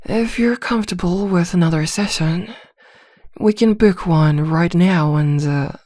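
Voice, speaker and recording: Woman playing soft, mellow, courteous therapist. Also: on the low side, a little slow, no room sound, studio quality. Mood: polite, reassuring. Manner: soft, courteous, mildly hesitant. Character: polite female therapist, gently professional, feigning innocence. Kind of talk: ASMR roleplay acting.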